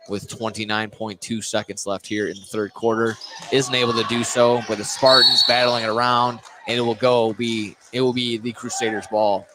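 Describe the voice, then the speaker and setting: A man gives live basketball play-by-play in a playful way, often correcting himself. His pitch is mid-range, and clear gym noise with bouncing balls sits behind him. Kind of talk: live sports commentary.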